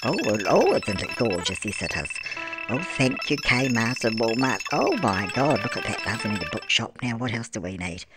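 A man's voice: lowish voice, sing-song, normal speed. A man voicing a chatty, doting old grandmother, fussing, cooing endearments and answering her own questions while narrating a life-sim game. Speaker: gameplay narrator. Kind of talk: life-sim gameplay commentary in character. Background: music.

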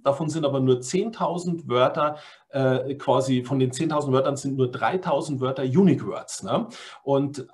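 A man's voice: medium pitch, darkish tone. A middle-aged German-speaking man giving an online talk over slides, explaining in a chatty way with fillers and tag questions. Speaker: language course presenter. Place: indoors, video call setup.

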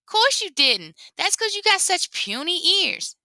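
Make it a cocky little rabbit trickster from a storybook, a woman putting him on, his voice jumping up high and bright, sly and lilting, always a step ahead of everyone. The sound sits close and dry, no room around it. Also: very animated, a little slow, no room sound, heavily acted.

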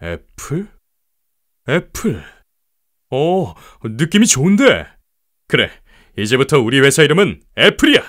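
An actor playing a modest, easygoing young computer builder in a children's audio storybook, amiable and thoughtful. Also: male voice, medium pitch, sing-song, a little slow, pauses often, fairly bright tone.

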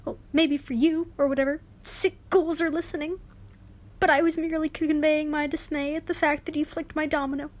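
A meek, polite loser of a guy acted by a woman, with a high voice. He sounds lonely and earnest, in a comic radio play manner. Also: little pitch movement, fairly quick, close to an everyday voice. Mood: indignant. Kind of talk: radio play comedy acting.

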